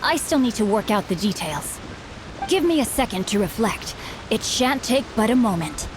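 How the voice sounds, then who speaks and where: A woman's voice: on the high side, very animated, moderate pace, rough recording. A woman voicing a bookish, chivalrous teenage boy swordsman in a video game, speaking in a formal, eloquent, earnest way. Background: game music.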